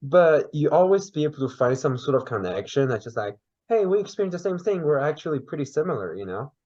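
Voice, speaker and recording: A man's voice: fairly high pitch, quick pace, highs cut off. Man with a Mandarin Chinese accent. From China. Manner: lively, searching for words. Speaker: podcast guest. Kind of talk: casual interview chat.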